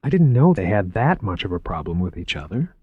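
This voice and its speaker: A man voicing a sharp-witted young woman museum researcher who speaks in a cool, pointed, restrained way. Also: low voice, big pitch swings, a little fast, breathy edge, no echo, phone-quality sound, exaggerated voice.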